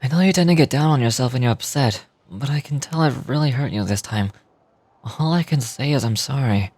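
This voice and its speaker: Apologetic, courteous young male game character, played by a man, mid-pitched, breathy, measured delivery. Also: darkish tone, no echo, close to an everyday voice. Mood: regretful. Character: apologetic, polite young man, an obedient grandson.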